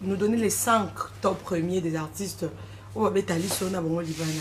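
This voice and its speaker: Prompting interviewer, woman. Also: on the low side. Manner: brief prompting murmurs and quick questions. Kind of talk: casual interview questions mixing French and Lingala. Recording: light background noise.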